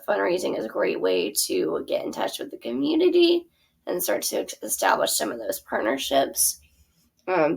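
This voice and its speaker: A woman's voice: mid-range voice, vocal fry, husky. A woman teacher casually walking through her theater curriculum, chatty and full of fillers. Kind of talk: casual walkthrough of a product.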